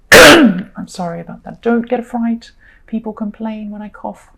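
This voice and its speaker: Woman, tarot reader, slightly croaky voice, gentle, reassuring, chatty fillers. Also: a little high, measured pace, low-quality recording. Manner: gentle and reassuring, many "you know" fillers. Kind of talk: tarot reading monologue.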